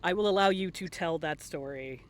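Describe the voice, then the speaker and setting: A man interviewing a guest in a casual, playful chat, quick to laugh. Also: a little high. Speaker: interviewer, stream host.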